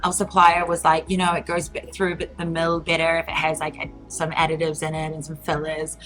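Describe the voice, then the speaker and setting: A woman's voice: low voice, completely flat delivery, brisk, a bit bright, soft background music, poor audio. A woman in her thirties with a New Zealand accent, chatting as an interview guest with many fillers and frequent laughs. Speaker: guest, entrepreneur. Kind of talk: casual interview talk.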